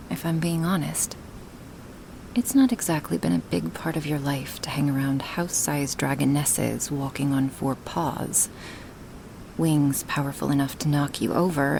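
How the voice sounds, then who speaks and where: A woman playing a huge, gentle and affectionate female dragon in an ASMR roleplay, speaking softly and intimately to the listener in formal wording, often slipping toward a whisper. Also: deep voice, gravelly, a little hiss or hum, poor audio. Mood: gentle, wistful.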